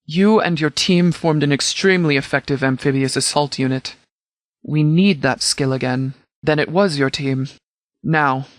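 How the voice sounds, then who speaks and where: A man acting as a no-nonsense law-enforcement commander from a video game. His voice sits a little high for a man, and he speaks in formal, precise, measured military phrases. The recording is dry and close. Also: fairly bright tone, dry recording, lightly acted. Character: formal, no-nonsense male sheriff's department commander. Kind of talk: scripted game dialogue, mission briefing.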